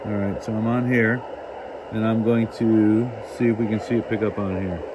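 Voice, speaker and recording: A man giving a casual hands-on radio demonstration as host, thinking aloud in a halting way. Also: lowish voice, little pitch movement, noisy background. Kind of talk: casual hands-on demonstration, talking while working.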